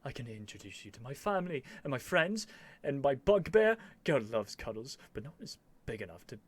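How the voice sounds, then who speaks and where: A man playing an earnest, nervous young prince devoted to a dragon, in a halting, emotional ASMR roleplay addressed to the listener. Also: mid-range voice, very animated. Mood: giddy, overjoyed. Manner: halting, stammering, breathy sighs and whispers, emotional.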